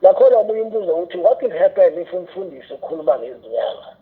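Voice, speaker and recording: Through a thin, phone-like band comes a South African man with a slightly high voice. He talks fast as he explains his points as an interview guest, setting up questions and slipping English phrases into his speech. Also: very animated, creaky. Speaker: radio drama scriptwriter and teacher, interview guest. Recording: tinny, narrow phone-like sound.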